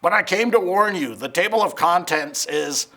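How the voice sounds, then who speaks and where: A man voicing a flustered storybook narrator who barges into the tale, talking in a hurried way. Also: sits high, lively intonation, pushed and bright, pitched above the performer's own voice, exaggerated voice, low-quality recording. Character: fussy male storybook narrator barging in, flustered.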